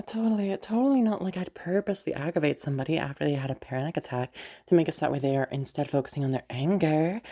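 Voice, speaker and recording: A woman playing a teasing, self-assured, sardonic yet protective young woman in a listener roleplay, rambling and halting as she talks. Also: fairly low pitch, mellow. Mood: sarcastic, teasing. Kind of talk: roleplay audio drama addressed to the listener.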